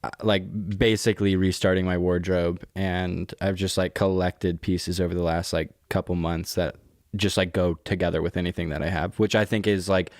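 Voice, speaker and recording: Very low-voiced young man, casual. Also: level tone, moderate pace, dry recording, studio quality. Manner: slangy talk with frequent "like". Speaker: podcast host. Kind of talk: casual podcast chat.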